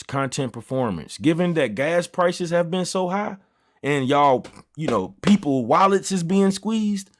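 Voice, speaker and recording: A man in his thirties hosting a trading livestream, talking casually in loose slang and drifting off topic as he chats with viewers. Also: medium pitch. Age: 30s. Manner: loose slangy banter, easily sidetracked. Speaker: trading stream host.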